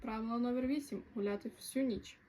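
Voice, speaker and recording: A woman's voice: a little high, steady tone, a little fast. A woman presenting a comic camp video, reading out numbered rules in a scripted announcing style. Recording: echoey, distant, loud crowd noise behind.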